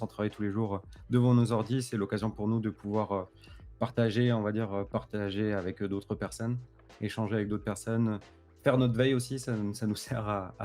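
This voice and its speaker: Mellow, low-voiced male co-host. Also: brisk, faint music behind. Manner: relaxed, easygoing, repeats words as he goes. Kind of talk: livestream panel discussion.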